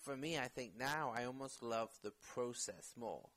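A man's voice: mid-range voice, normal speed, fairly bright tone. A man talking casually as an actor guest in an interview, rambling with many fillers and restarts.

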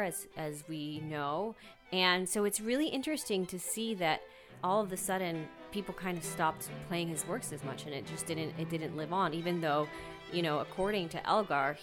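A woman speaking as a guest in a conversational interview, reflective and earnest, thinking aloud as she goes. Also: sits low.

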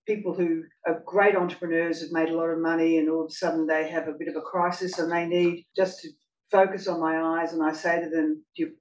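A woman's voice: deep voice, darkish tone. A woman on a panel telling blunt, emphatic stories about her work, repeating words for weight. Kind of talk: panel discussion answer. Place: event room with audience. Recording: echoey room from a distance, faint noise.

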